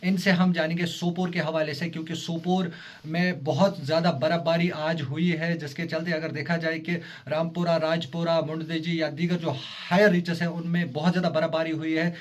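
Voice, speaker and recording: A man's voice: fairly high pitch, fairly even intonation. A man with a Kashmiri accent reporting and interviewing as a news journalist, hesitant and repeating himself as he goes. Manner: hesitant, halting delivery with repeated phrases.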